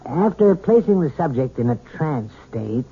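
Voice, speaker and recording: An actor playing a calm, courteous hypnotherapist in an old radio drama. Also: male voice, on the high side, slightly rough, exaggerated voice. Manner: measured, courteous, hesitant fillers. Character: calm, polite male hypnotherapist doctor. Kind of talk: 1970s radio drama acting. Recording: tinny old radio broadcast.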